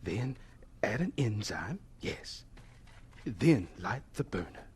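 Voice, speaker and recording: An actor playing a devout, humble elderly scientist in a radio drama, husky and deliberate, absorbed in talking with God. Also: male voice, lowish voice, big pitch swings, exaggerated voice. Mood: absorbed, hushed.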